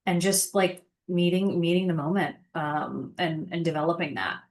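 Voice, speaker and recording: A woman in her thirties talks through her career in a relaxed interview. She is chatty and reflective, with fillers scattered through her speech. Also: lowish voice, normal speed. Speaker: podcast guest, sales engineering manager. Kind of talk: casual interview conversation.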